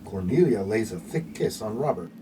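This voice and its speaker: A man's voice: fairly low pitch, lively intonation, normal speed, warm tone, a little hiss or hum. A man reading scripted narration and stage directions for a radio play.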